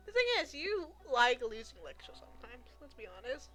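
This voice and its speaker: Casting: female game streamer, highish bright voice, giggly, rambling casual chat. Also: big pitch swings, a little fast, soft background music.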